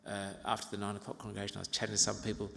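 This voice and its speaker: A man in his fifties from Uganda preaching an expository sermon as a pastor, in a measured, reflective way with pauses and gentle asides. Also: on the low side, monotone, gravelly. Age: 50s. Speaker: pastor preaching to own congregation. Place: large reverberant church interior. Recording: reverberant hall.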